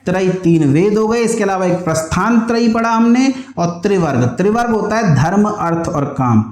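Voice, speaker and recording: A man with a high-pitched voice teaches an exam-preparation lesson in an echoey room. He explains point by point, posing questions and answering them himself. Key terms get repeated so that students can memorise them. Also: animated, normal speed, darkish tone. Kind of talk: online history lesson with question and answer drilling.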